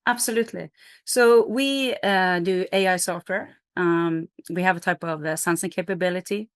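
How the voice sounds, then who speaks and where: A woman's voice: on the low side, normal speed, no echo, clean studio sound. A middle-aged woman from Norway, a company CEO, explaining her business in an interview with energy, fillers and restarts.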